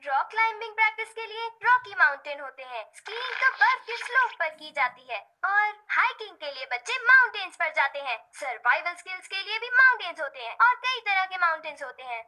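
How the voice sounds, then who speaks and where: A woman voicing an earnest, excitable childlike character in a Hindi cartoon dub. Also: extremely high pitch, brisk, hardly any pauses, heavily acted. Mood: curious, excited.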